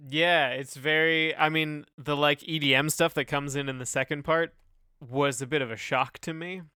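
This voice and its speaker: A man's voice: a little high, steady tone, normal speed, bright, forceful tone, clean tone, no echo, studio quality. A man co-hosting a music podcast, talking casually and hesitantly as he gropes for descriptive words. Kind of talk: casual chat reviewing songs.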